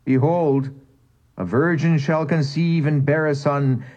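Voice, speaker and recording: A man's voice: fairly high pitch, fairly even intonation, slow pace, darkish tone, highs cut off, voice pushed up. A man voicing a solemn angel who speaks prophecy in a dream.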